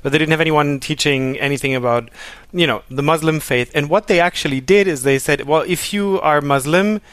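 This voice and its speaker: A man's voice: on the high side, a little fast, a bit bright, clean tone, no room sound. A man telling an anecdote in a casual conversation, often correcting himself and rambling. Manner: rambling, self-correcting storyteller.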